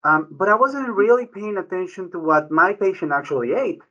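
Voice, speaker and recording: Male doctor, forceful slightly high voice, muffled lo-fi sound, keeps adding "right?". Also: normal speed. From Ecuador. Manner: frequent "right?" tag questions, restarts. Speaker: physician guest. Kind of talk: conversational explanation. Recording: dull lo-fi audio.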